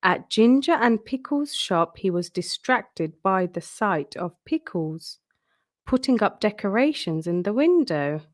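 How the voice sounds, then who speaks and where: Female children's read-aloud narrator: unhurried, expressive, with wide swings in pitch. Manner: expressive, deliberate storytelling. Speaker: storyteller.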